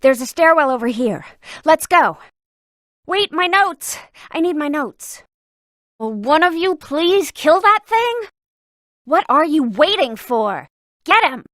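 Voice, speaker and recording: Brisk brainy museum curator lady for a video game, kinda high voice, articulate n sardonic, dry close recording. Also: big pitch swings, fairly bright tone, no room sound, heavily acted. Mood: urgent, alarmed. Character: brisk, learned female museum curator and archaeologist. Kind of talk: scripted video game dialogue.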